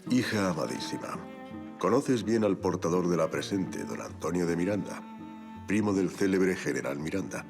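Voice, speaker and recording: Deep-voiced, formal aristocratic father. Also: animated, over music. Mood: fond, wry. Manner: formal, measured, dryly wry. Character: affectionate, worldly aristocratic father reading out his letter. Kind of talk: audio drama letter narration.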